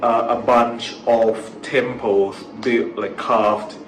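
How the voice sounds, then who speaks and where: A man from Thailand, a native Thai speaker with a Thai accent, chatting casually as an interview guest in a halting way that repeats words. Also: medium pitch, steady tone, faint music behind. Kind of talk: casual chat. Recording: echoey room with clear background noise.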